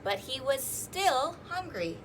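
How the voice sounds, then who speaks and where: A woman hosting a children's storytime, reading rhymes and picture books aloud in an animated, sing-song, exaggerated way. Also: high-pitched, slow, slight background noise, rough recording. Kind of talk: interactive children's read-aloud with rhymes and fingerplay.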